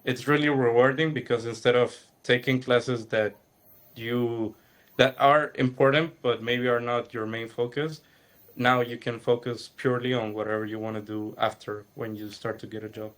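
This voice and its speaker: A young man from Guatemala, an animation student, talks in a relaxed, earnest way about his student project during a video-call webinar. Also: mid-range voice, normal speed. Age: young adult. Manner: relaxed and earnest, with some fillers. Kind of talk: casual account given in a webinar.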